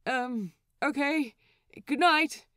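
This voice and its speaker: A woman voicing an excitable, exasperated young male pirate scribe with dramatic, emphatic delivery in a story reading. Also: high-pitched, drawn-out words, no echo, studio quality, pitched above the performer's own voice, heavily acted. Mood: awkward.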